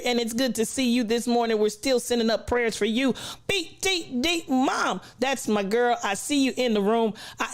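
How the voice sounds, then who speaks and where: A woman's voice: medium pitch, clear, resonant tone. A woman hosting a casual livestream, greeting viewers in an effusive, affectionate, playful way, with a voice not quite back to full strength after losing it. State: recovering from a lost voice, not full voice. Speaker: livestream host.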